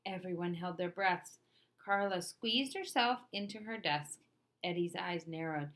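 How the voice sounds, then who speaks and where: A woman reading a children's picture book aloud with lively, expressive storytelling. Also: fairly low pitch, a little slow.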